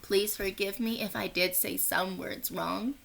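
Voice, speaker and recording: A woman doing an expressive children's read-aloud in two languages, with animated, drawn-out storytelling. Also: mid-range voice, creaky, a little hiss or hum. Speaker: teacher reading a picture book aloud.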